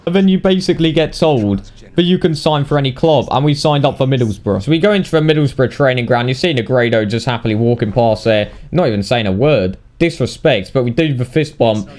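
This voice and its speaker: Sweary British lad narrating game highlights. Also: male voice, expressive, brisk, a little mellow. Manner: sweary, blokey, mock-indignant. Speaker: gaming video narrator. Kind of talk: casual game highlights commentary.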